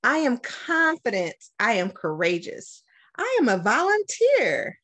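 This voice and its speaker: A middle-aged American woman from Detroit, a school principal, doing an expressive storytime read-aloud with deliberate phrasing. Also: on the high side. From Detroit, United States. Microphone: webcam at about arm's length.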